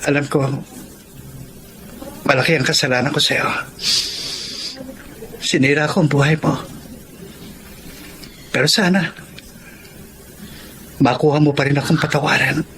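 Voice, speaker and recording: An actor playing a guilt-ridden man in a Filipino radio drama, halting and remorseful, with a Filipino accent. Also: a little high, steady tone, a bit bright, poor audio. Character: guilt-ridden man begging his former lover's forgiveness. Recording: faint background noise and ambience.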